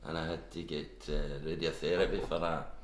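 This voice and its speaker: A man in his seventies with a Scottish accent, telling his story in a casual interview, halting with many restarts. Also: rumbling low, level tone. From Scotland. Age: 70s. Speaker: interview guest. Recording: echoey room with faint background hum.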